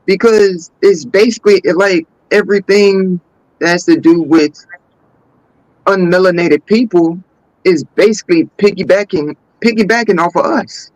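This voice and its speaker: An American man in a casual, opinionated panel discussion, making his points deliberately and insistently, repeating words for stress. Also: sits high, a little mellow, dry recording, poor audio. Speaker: panelist on a talk show.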